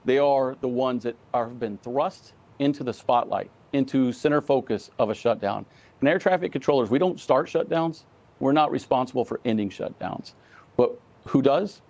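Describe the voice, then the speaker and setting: Very gravelly man, earnest spokesman. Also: medium pitch, a little mellow. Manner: earnest, emphatic, measured spokesman. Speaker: union president, interview guest. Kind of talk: live news interview answers.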